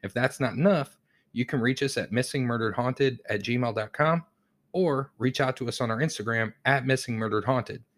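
A man co-hosting a casual true-crime podcast, musing in a relaxed way and reading the show's outro. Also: mid-range voice, normal speed. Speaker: podcast co-host.

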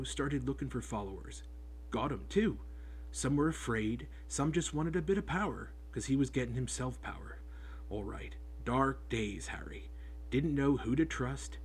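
A man reading an audiobook, voicing a big rough-spoken groundskeeper who talks in homely, clipped speech. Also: mid-range voice. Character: big rough-spoken male groundskeeper, gruff but kind. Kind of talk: audiobook character voice.

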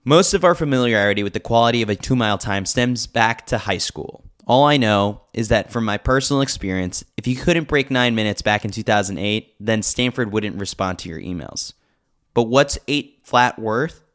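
A man's voice: fairly low pitch, lively intonation, normal speed, fairly bright tone. A man reading a scripted sports newsletter with a lightly wry, joking manner. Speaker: newsletter reader, podcast host.

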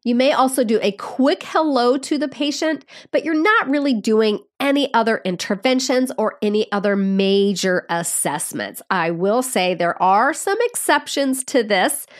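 A fairly high-pitched woman talks through a podcast lesson, her voice nasal and stuffed up from a cold. She explains things plainly and speaks straight to the students listening. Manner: friendly, explanatory, addresses students directly. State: congested with a cold. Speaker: nursing educator and podcast host. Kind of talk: podcast teaching talk.

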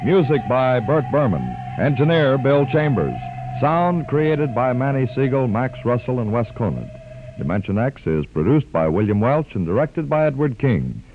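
A man announcing the credits on an old-time radio program, reading them in a crisp, steady way. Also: mid-range voice, normal speed. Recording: tinny old radio broadcast over music.

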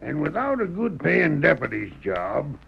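Gravelly, menacing Western sheriff. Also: male voice, fairly high pitch, huge pitch swings, unhurried, a little hiss or hum, full character voice. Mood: threatening. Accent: American Western drawl. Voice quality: gravelly, raspy. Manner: blustering, threatening. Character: crooked, menacing Old West sheriff. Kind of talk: old-time radio western acting. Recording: dull, muffled old radio recording.

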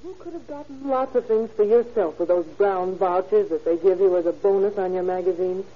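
A devoted mother from a vintage radio play, acted by a woman. Her voice is medium-pitched and husky, with a sentimental delivery. The sound is dull and muffled. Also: a little fast, natural voice. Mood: tender, doting. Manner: gentle, sentimental. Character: tender, doting, fussing mother. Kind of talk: 1940s radio acting. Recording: muffled old radio recording.

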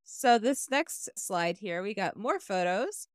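Excitable young woman with a smooth voice. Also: mid-range voice, slow pace, no room sound, studio quality. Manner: swears freely, apologetic, excitable. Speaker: podcast host presenting research. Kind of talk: casual chat.

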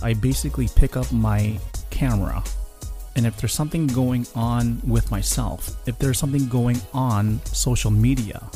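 A man's voice: medium pitch, warm tone. A man hosting a podcast, reading a promotional intro. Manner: promotional, reads calls to action. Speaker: podcast host.

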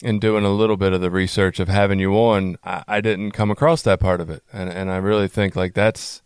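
A man with a lowish, smooth voice reflects haltingly, repeating words as he gathers his thoughts. The sound is dry and close. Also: level tone, no room sound. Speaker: podcast host. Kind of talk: conversational interview.

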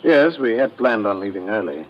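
Formal, pompous scientist. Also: male voice, lowish voice, very animated. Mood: polite. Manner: formal, measured, genteel. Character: courteous, pompous male scientist leading an expedition. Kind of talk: 1950s radio drama acting. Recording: dull noisy old radio recording.

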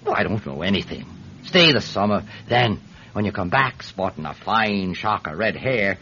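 A man's voice: on the low side, big pitch swings, moderate pace, bright, forceful tone, husky, heavily acted. A man playing a talkative, evasive Irish villager in a radio drama, hesitant and wheedling as he rambles. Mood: cajoling. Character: talkative, evasive Irish villager testifying about his friend. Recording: dull, lo-fi radio recording.

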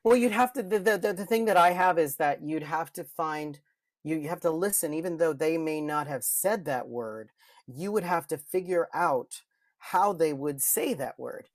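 A man's voice: a little high, moderate pace, no echo. Man chatting casually, dry close sound. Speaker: guest, voice impressionist and performer. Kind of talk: casual podcast interview chat.

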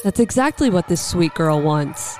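A woman's voice: fairly low pitch, expressive, normal speed, a little mellow, faint music behind, natural voice. A woman playing a gutsy young demon hunter, dry and defiant, in a horror audio drama.

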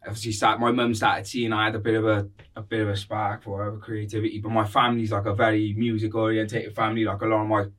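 Low, even-toned Cardiff man, slightly distant. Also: fast. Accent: Cardiff Welsh. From Cardiff, Wales. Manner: informal, slangy, repeats phrases, frequent 'like' and 'bro'. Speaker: podcast guest, rapper. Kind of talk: casual conversational storytelling.

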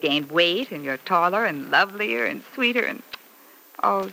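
An effusive mother in a vintage radio serial, cooing with barbed sweetness. The voice is bright and swings widely in pitch. The old recording carries faint noise. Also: expressive, moderate pace, poor audio. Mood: doting. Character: gushing young mother, sweetly catty and dramatic. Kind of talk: old-time radio soap opera acting.

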